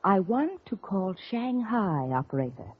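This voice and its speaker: A woman's voice: mid-range voice, sing-song, a little slow, slight background noise. Guarded woman, tinny vintage radio. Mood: anxious. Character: tense, guarded woman caught in a murder plot. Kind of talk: 1940s radio drama acting.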